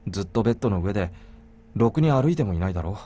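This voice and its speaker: An actor playing a protective, gently gruff young man, caring and understated, in a Japanese visual novel. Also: lowish voice, expressive, very fast. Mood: caring, firm. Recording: game music behind the voice.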